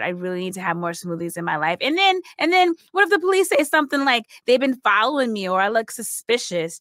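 A woman's voice: mid-range voice, fairly bright tone, dry recording, clean studio sound. An American woman performing an anxious, sleepless woman's racing, repetitive thoughts as a film's interior monologue. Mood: restless listing. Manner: muttering, repetitive, agitated.

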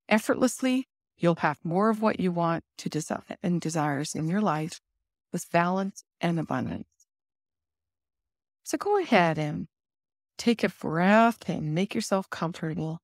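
A woman leading a guided meditation in a soothing, instructive manner with deliberate pauses. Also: lowish voice, a little mellow, no room sound, clean studio sound.

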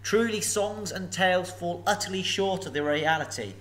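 A man voicing a small, clever male hobbit thief, courteous and flattering. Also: high voice, moderate pace, pitched above the performer's own voice.

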